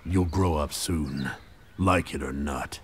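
An actor playing a world-weary, sardonic middle-aged samurai warlord with a measured manner in an English video game dub. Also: male voice, extremely low pitch, slight background noise. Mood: stern.

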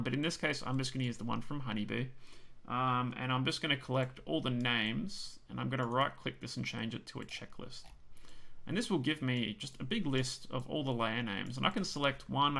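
An Australian man talks through a screen-recorded software tutorial in a relaxed, chatty way, filling pauses with ums. His voice is gravelly with lots of fry and has gone hoarse. He sits at a desk microphone. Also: medium pitch. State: losing his voice.

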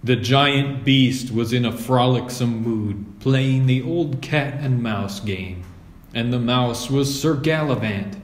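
A man's voice: mid-range voice, slow pace. A man reading a children's story aloud as scripted narration. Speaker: storybook reader. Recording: roomy echo.

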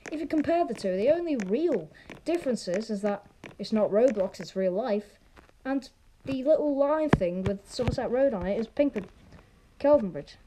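Casting: chatty, opinionated game vlogger, mid-pitched with lively swings, casual rambling delivery, noisy recording with clicks. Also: normal speed. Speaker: video host. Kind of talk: casual gaming vlog commentary.

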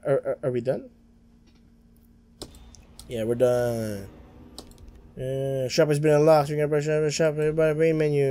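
Rambling young Filipino gamer on a headset. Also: male voice, medium pitch, warm tone. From Philippines. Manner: rambling, many restarts and stammers, mumbles to himself. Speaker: gaming streamer. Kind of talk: casual let's-play commentary. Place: small room at home, green screen.

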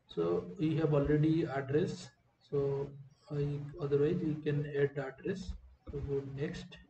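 A man giving an unscripted screen-recording walkthrough as a software demonstrator, speaking in a halting, hesitant way. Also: on the high side, little pitch movement. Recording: muffled, roomy, faint background noise.

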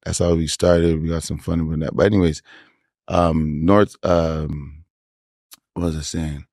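A man with a Toronto accent, a podcast guest telling his story in a laid-back, animated way with slang asides. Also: rumbling low, unhurried, no room sound, clean studio sound. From Canada. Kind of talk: casual interview chat.

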